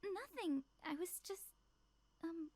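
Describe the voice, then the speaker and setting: A woman's voice: very high voice, expressive, slow pace, darkish tone, dry recording, exaggerated voice. An actress playing a timid, friendly, awkward young woman in a visual novel, speaking hesitantly and politely. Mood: awkward, nervous.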